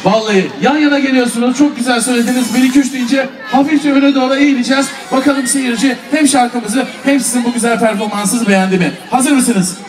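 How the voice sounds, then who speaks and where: A man's voice: extremely high pitch, fairly even intonation, brisk. A man hosting a children's stage show, energetically calling out instructions and singing along. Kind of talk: children's singalong and stage patter. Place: outdoor festival stage. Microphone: handheld stage microphone over PA. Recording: echoey PA from far off, loud music, crowd.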